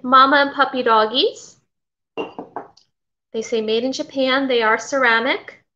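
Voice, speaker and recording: A woman's voice: sits high, fairly even intonation, unhurried, pushed and bright, a little hiss or hum. A middle-aged woman from Florida hosting a casual live stream from her home kitchen, rambling and reading out viewer comments. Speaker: vintage seller hosting a live haul. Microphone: webcam at desk. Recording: slightly dull lo-fi webcam audio.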